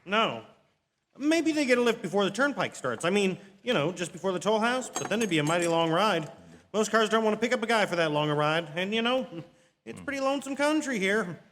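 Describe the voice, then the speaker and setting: An easygoing, folksy gas station attendant acted by a man chats in a high, bright voice. It is radio drama dialogue in a reverberant theatre, with faint noise underneath. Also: sing-song, a little fast. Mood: amused, folksy. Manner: chatty, folksy, colloquial. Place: stage of a theatre.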